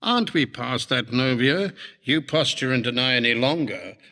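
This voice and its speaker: A male actor playing a sly alien ruler in a sci-fi TV drama, courtly, deliberate and persuasive. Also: medium pitch, animated, sharp tone. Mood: shrewd. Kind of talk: sci-fi television drama acting.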